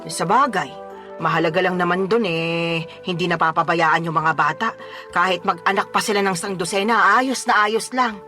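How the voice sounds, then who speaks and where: A woman's voice: lowish voice, normal speed, faint music behind. Teasing, blunt Filipino mother. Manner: teasing, animated, nagging. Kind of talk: Tagalog radio drama acting.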